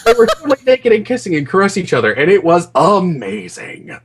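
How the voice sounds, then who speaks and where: A man's voice: sits high, very animated, fairly quick, noisy background. A man giving a comic dramatic reading of fan fiction in a mock-earnest manner, as a podcast host. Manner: mock-earnest, often breaking into laughter.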